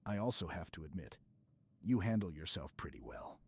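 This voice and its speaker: A man playing a stoic, earnest super-soldier in an intimate sci-fi ASMR roleplay, speaking in a halting, hushed way with dramatic pauses. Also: deep voice, lively intonation, slightly rough, heavily acted. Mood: weary, sincere.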